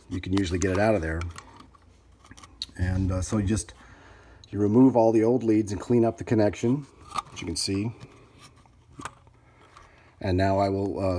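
Low-voiced man narrating hands-on repair work. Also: mellow, a little hiss or hum. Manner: unscripted, thinking aloud while working. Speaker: hobbyist repairer demonstrating his work. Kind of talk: casual hands-on tutorial narration. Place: indoors at a workbench.

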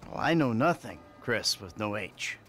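A man's voice: on the low side, big pitch swings, measured pace, over music. An actor playing a blunt, exasperated, sarcastic man humouring a cult in a video game. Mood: wry, resigned. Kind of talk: video game cutscene acting.

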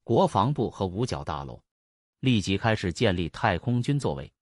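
A man's voice: on the low side, very animated, normal speed, no room sound, clean studio sound. A man dubbing a serious, authoritative speaker in a documentary. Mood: official, firm. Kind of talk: dubbed documentary speech.

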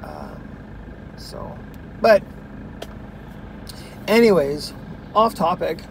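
A man's voice: high voice, wildly swooping pitch, extremely slow, low-quality recording. A man in his thirties chatting casually on a vlog while driving, rambling with lots of ums and restarts, filmed by a dashboard camera inside his car. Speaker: vlogger. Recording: loud road and engine noise. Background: car road noise.

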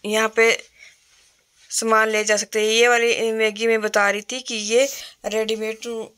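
A woman's voice: fairly high pitch, level tone, a little slow, a bit bright, clean tone. A middle-aged woman from Himachal Pradesh, India, chatting plainly with fillers while filming a shop walkthrough on her phone. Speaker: vlogger. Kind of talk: casual shop walkthrough vlog. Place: indoor retail store.

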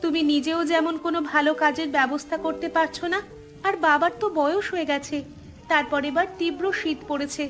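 A woman acts as an outspoken, hardworking young wife in a cartoon. Her voice is very high and insistent, over faint music. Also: steady tone, brisk, natural voice. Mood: exasperated. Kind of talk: cartoon story voice acting.

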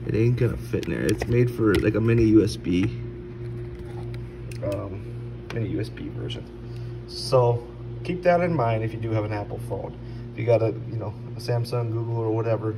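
A man giving a casual, unscripted product review in an informal, halting conversational way. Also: on the low side. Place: indoors at a stone countertop. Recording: distant mic, clearly noisy background.

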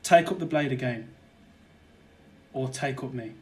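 A man's voice: medium pitch, a little slow, natural voice. A young man playing a scheming, murderous nobleman in a verse audio drama, wooing ardently and persuasively. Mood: pleading, daring. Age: young adult. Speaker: student actor.

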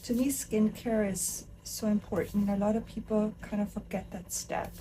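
A woman's voice: mid-range voice, steady tone, moderate pace, warm tone, a little hiss or hum, poor audio. A woman from Austria who is a makeup artist, chatting enthusiastically while she does someone's makeup in a living room. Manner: chatty, enthusiastic, talks while working with her hands. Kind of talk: casual chat while doing makeup.

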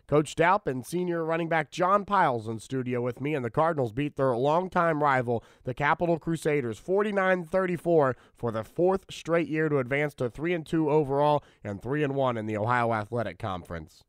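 A man hosting a college sports radio show, slightly hoarse, asking his guests relaxed questions in a studio. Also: on the high side, normal speed, hardly any pauses, no echo. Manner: excited play-by-play calling, then relaxed questions. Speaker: radio host and play-by-play announcer. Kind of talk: casual radio interview.

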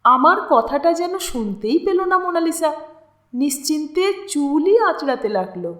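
A woman narrating a literary story in an expressive, deliberate, dramatic way with lingering pauses. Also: high-pitched. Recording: roomy, echoing sound.

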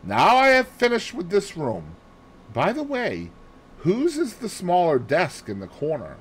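A man's voice: high voice, wildly swooping pitch, husky, pitched above the performer's own voice, heavily acted. Man voicing a fussy, lilting detective. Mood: brisk, musing. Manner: precise, deliberate, peppered with French phrases. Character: fussy, placid Belgian male detective, growing old. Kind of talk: audiobook character dialogue.

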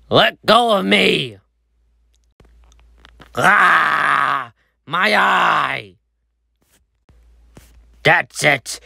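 A man's voice: on the high side, vocal fry, sharp tone, dry recording, studio quality. A man acting out voice lines as a menacing, gleeful cartoon police dog, theatrical and taunting. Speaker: amateur voice performer. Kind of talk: acted cartoon villain voice lines.

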